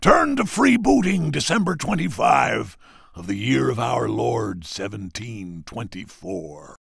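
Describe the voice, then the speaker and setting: Pirate chronicler, heavy fry, husky, dramatic declaiming for a game. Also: male voice, medium pitch, sing-song, a little slow, fairly bright tone, no room sound, clean studio sound, exaggerated voice. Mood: grim, declamatory. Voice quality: raspy, gravelly. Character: theatrical pirate ship chronicler reading crew records.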